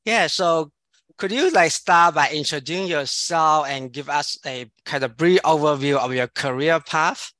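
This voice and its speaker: A man's voice: fairly high pitch, measured pace, pushed and bright, no room sound. A man co-chairing a committee, moderating an online interview in a hesitant way, full of "like" and "kind of". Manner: hesitant, many "like" and "kind of" fillers.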